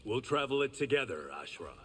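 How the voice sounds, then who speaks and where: A man's voice: medium pitch, sing-song, brisk, slightly rough. An actor playing a stoic, wry swordsman in a fighting video game. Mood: guarded. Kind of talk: video game dialogue, battle banter. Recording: hall reverb, music behind.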